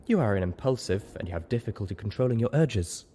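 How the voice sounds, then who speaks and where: A man voicing a cool, observant female zebra in an audiobook story, speaking in a measured, frank and formal way. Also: on the low side, expressive, dark tone, breathy edge.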